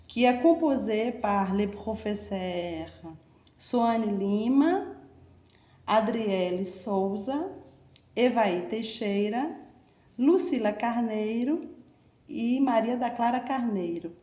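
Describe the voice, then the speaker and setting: A Brazilian woman speaking French slowly and deliberately, with a mellow, dark tone. Her Portuguese accent is clear, and she pauses on hesitations between phrases. The sound is echoey and roomy. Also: a little high. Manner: measured, with frequent hesitations. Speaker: event presenter, teacher. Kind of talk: formal opening speech and poetry reading.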